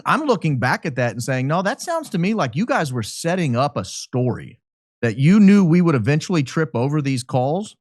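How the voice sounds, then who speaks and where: A man speaking as a podcast guest, giving speculative commentary in an emphatic, deliberate way. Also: medium pitch, dry recording, clean studio sound. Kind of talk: speculative commentary in a talk show.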